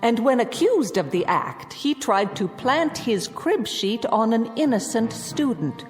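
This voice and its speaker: An actress playing a stern, older, unbending schoolteacher in a radio drama, speaking in a precise, cutting, haughty way. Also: female voice, on the high side, big pitch swings, unhurried. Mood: scornful. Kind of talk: classic radio drama acting. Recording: reverberant hall, music underneath.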